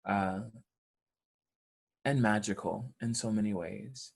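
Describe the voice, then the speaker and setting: A man talking earnestly in an interview, with measured, deliberate phrasing. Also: lowish voice, creaky. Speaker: audio play cast or crew member.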